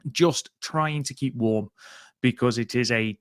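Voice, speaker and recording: A man's voice: medium pitch, a little slow, no echo. Dry-sounding British man hosting a podcast. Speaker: podcast host. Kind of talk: casual podcast chat.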